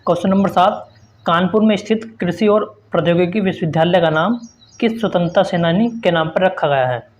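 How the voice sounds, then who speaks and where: A man's voice: sits high, fairly quick, muffled recording. A man teaching an exam-prep quiz lesson, reading out questions and explaining answers in an instructive way with repeated emphasis.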